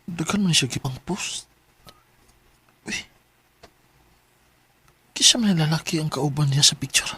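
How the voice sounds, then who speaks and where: A man's voice: a little high, a little slow, pauses often, sharp tone, breathy edge, full character voice. A man with a Filipino Bisaya accent acting a lovesick, jealous young security guard in a radio drama, questioning insistently and emotionally. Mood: wistful, insistent.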